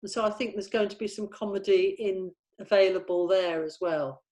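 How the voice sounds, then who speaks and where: A middle-aged woman chatting casually as a producer in a planning meeting, agreeable and a little halting. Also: mid-range voice, steady tone, a little mellow.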